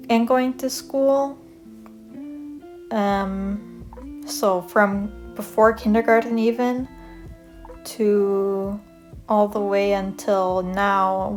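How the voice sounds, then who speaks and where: A young woman from Canada chatting casually as she draws, rambling with lots of fillers and self-corrections. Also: medium pitch. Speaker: art vlogger. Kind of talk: casual chat over a draw-with-me video. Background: soft guitar music.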